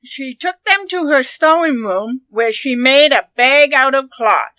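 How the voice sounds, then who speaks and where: A woman narrating a children's story expressively, with deliberate, dramatic phrasing. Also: high-pitched, animated, pushed and bright, dry recording. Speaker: storybook reader.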